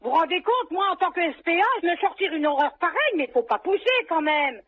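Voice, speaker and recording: A woman's voice: extremely high pitch, lively intonation, a little fast. A woman in a casual phone conversation, exclamatory and indignant in manner, often repeating herself. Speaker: animal shelter manager answering a phone call. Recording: dull, noisy phone-call recording.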